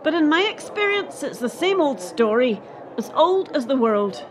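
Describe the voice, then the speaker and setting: High, dismissive hardened sports official, played by a woman. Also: very animated, moderate pace, noisy background. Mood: dismissive, cold. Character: dismissive, hardened female gymnastics official defending her sport. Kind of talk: radio play acting.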